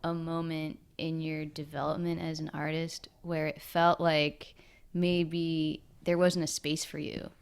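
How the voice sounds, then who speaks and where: A woman co-host speaks in a low, level voice with a smooth, clear tone. She responds thoughtfully, gently affirming what her guest has said. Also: level tone, unhurried. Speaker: podcast co-host. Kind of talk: interview conversation.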